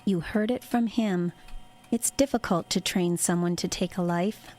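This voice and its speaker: An actress playing a cold, calculating superior in a covert military unit, clinical and authoritative, in an audio drama. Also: female voice, lowish voice, unhurried, a little mellow, close to an everyday voice. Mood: coolly calculating. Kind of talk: audio horror drama acting.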